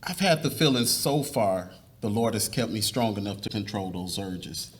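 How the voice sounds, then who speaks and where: A man playing an earnest, devout young suitor in a live dramatic reading, speaking in a measured, persuasive way. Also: on the low side, lively intonation, lightly acted. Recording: noisy live room recording.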